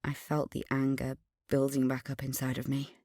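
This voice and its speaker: A woman's voice: very deep voice, completely flat delivery, moderate pace, mellow, dry recording, clean studio sound. A woman playing an angry, suspicious teenage schoolgirl in an audio drama, earnestly narrating her memories and visions and speaking in dialogue. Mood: brooding.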